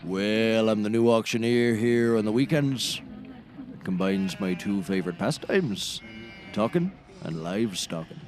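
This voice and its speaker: A man acts out a folksy livestock auctioneer in an audio comedy. His voice sits low, and he rambles on with wordy, sardonic patter over faint music. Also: unhurried, a little mellow, lightly acted. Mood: amiable. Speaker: actor in a scripted comedy series. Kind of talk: scripted audio comedy acting.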